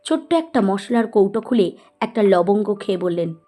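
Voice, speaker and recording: A woman's voice: medium pitch, lively intonation, normal speed, dark tone, faint music behind. A woman reading scripted story narration as the narrator of an audio story.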